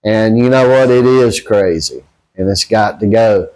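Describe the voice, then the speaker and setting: A man with a Southern American accent talks casually to his viewers in a fairly low voice. He takes his time, rambling along at a slow, halting pace, with a blunt, folksy manner. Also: a little mellow, rough recording. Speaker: boxing channel host.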